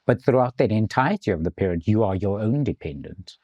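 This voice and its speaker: A man from South Africa, an insurance executive, explaining finance in a measured way in an interview. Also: fairly low pitch, animated, a little mellow, dry recording, highs cut off. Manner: measured, explanatory, some restarts.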